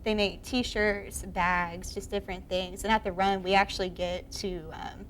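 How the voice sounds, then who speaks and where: A woman's voice: mid-range voice, little pitch movement, a little slow, a bit bright. Young woman, charity run organizer, earnest interview delivery, mid-range voice, faint room hiss. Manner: earnest, with ums and uhs.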